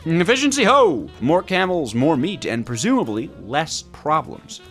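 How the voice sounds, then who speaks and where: Guy narrating a gaming video, really animated and dramatic, comic exasperation, faint music under him. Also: fairly high pitch, a little slow. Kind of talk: scripted gameplay story narration.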